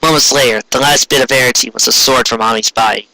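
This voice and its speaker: A man's voice: medium pitch, fairly even intonation, fast, vocal fry, bright, forceful tone, dry recording, poor audio. A man giving a dramatic comic reading of fan fiction, theatrical and stumbling over clumsy wording.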